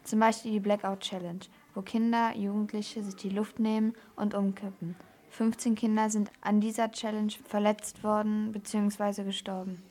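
Woman, medium pitch, children's radio presenter, scripted factual report. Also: little pitch movement, moderate pace, dark tone.

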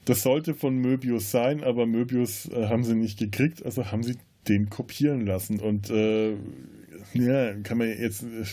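A man's voice: medium pitch, fairly even intonation, moderate pace, mellow. A man co-hosting a podcast, casually discussing film and often restarting his phrases. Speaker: podcast co-host.

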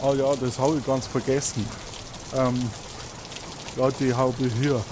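A man's voice: medium pitch, flat delivery, a little slow, background noise, lightly acted. A man voicing a chatty, simple, friendly groundsman with a folksy Bavarian accent in an audio game. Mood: friendly, helpful. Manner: folksy, informal.

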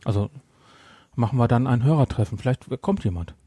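A man's voice: mid-range voice, expressive, brisk, dark tone, breathy edge, no room sound, studio quality. A man hosting a podcast in a casual, rambling chat, often interrupting himself. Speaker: podcast host.

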